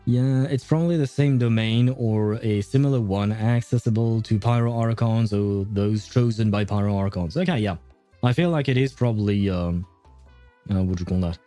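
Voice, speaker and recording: A man's voice: lowish voice, normal speed, warm tone. A man livestreaming a game in casual chat, rambling and hesitant as he thinks aloud. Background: game music.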